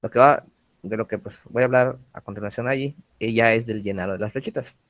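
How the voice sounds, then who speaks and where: A man's voice: mid-range voice, a little fast, a bit bright, no echo. A male teacher in an online class, casually checking whether his students have any questions before moving on.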